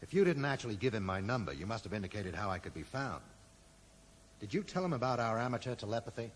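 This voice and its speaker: An actor playing a dry, sarcastic amateur sleuth who probes and accuses in a 1980s radio drama. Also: male voice, lowish voice, very animated, fast. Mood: probing, sardonic. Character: sardonic, probing male amateur sleuth confronting an old friend. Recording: echoey, noisy old radio broadcast recording.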